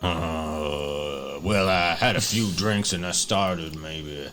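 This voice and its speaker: A man's voice: low voice, slow, fairly bright tone, faint music behind, voice pushed down. A man voicing a drunk, suspicious, rambling motel clerk in an improvised tabletop roleplay. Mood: helpful, befuddled. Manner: blustering, rambling, hesitant.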